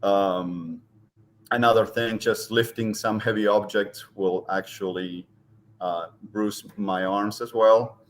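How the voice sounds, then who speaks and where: A middle-aged man from Argentina with an Argentine Spanish accent, speaking as an interview guest and pausing often with ums and restarts. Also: fairly low pitch, fairly even intonation, slight background noise. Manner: hesitant, many ums and restarts. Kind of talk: casual interview talk.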